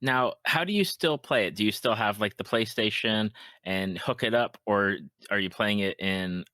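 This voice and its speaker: A man's voice: lowish voice, normal speed, bright, forceful tone, no room sound. A man hosting a podcast, chatting casually and agreeably about video games with enthusiasm. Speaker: podcast host.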